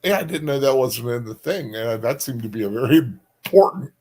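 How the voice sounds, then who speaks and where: A man with a medium-pitched voice co-hosts a casual livestream. He talks informally and haltingly, feeling his way through each thought in broken phrases. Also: expressive, a little mellow. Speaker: co-host of a book discussion stream.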